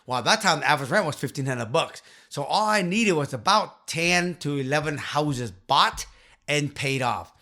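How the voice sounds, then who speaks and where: A man in his fifties telling his story to the camera in a small home office, earnest and emphatic, into a boom-arm studio mic. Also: expressive, normal speed, sharp tone. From Vietnam. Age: 50s. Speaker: real estate investor and channel host.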